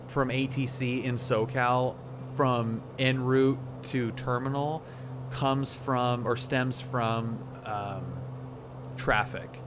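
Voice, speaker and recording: A man talking casually and reflectively on a livestream, often halting to search for words. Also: mid-range voice, noisy background. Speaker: flight sim streamer.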